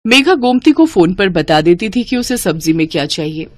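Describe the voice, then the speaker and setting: A woman narrating a scripted animated moral story in a steady, plain storytelling manner. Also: on the low side, moderate pace.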